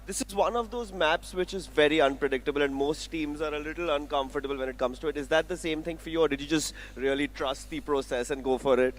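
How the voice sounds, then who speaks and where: An upbeat man conducts a post-match interview at an esports event. His voice sits a little high, and he congratulates the winning player. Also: fast, few pauses, slight background noise. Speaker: stage interviewer.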